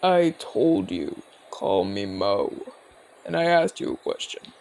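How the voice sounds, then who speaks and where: A man's voice: fairly low pitch, very animated, slow pace, vocal fry, a little mellow, exaggerated voice. A man playing a seasoned, cocky but easygoing orc commander in an audio roleplay, talking in a casual, teasing, explanatory way. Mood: mildly exasperated. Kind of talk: ASMR audio roleplay acting.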